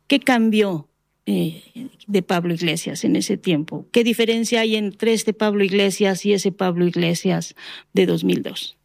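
A woman hosting an interview, asking questions in a halting way with many fillers. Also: mid-range voice, vocal fry, darkish tone, no room sound, studio quality. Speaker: interview host.

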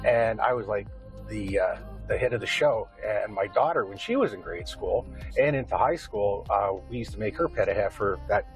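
Middle-aged Canadian man, lower-pitched voice, music behind. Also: lively intonation, moderate pace, low-quality recording. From Windsor, Canada. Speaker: interviewee, community member. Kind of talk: conversational interview answers, personal recollections.

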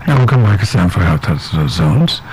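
A man's voice: fairly low pitch, sing-song, normal speed, over music, poor audio. A man hosting a radio health show, reading the news and interviewing a guest in a chatty, loose way with lots of fillers.

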